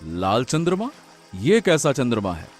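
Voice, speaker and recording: A man's voice: medium pitch, wildly swooping pitch, a little slow, faint music behind. A man voicing an arrogant, power-hungry cartoon king with an imperious, theatrical delivery. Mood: puzzled. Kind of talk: cartoon story voice acting.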